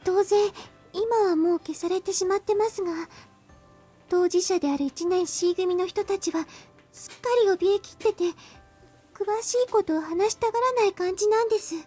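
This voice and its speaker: Perky little anime schoolgirl, super high and quick, polite, visual novel style. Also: faint music behind. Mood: earnest, informing. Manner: polite, bright, deferential. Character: perky, polite, eager schoolgirl underclassman helper.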